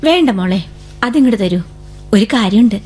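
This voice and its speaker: An actress in a radio drama playing a gentle, earnest young woman who is an eldest sister. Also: fairly high pitch, lively intonation, fairly quick, close to an everyday voice. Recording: radio drama with faint music.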